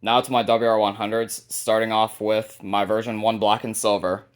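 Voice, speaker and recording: A man's voice: lowish voice, little pitch movement, moderate pace. A man hosting a hobby video, narrating a casual show-and-tell of a collection.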